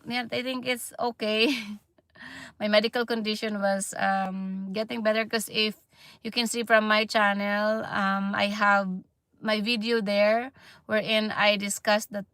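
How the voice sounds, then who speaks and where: A Filipino woman in her thirties talks slowly and haltingly on a casual livestream, restarting often and trailing off. Her voice has a gravelly creak, and the close recording is dry with no room sound. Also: mid-range voice, fairly bright tone, no room sound. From Philippines. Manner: halting, many fillers and restarts, laughs often. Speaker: livestream vlogger.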